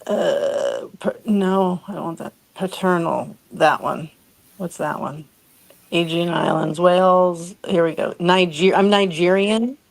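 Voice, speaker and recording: Female live stream co-host, slightly low voice, blunt and unfiltered, off-the-cuff conversational delivery. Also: slow pace, creaky, rough recording. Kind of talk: casual chat.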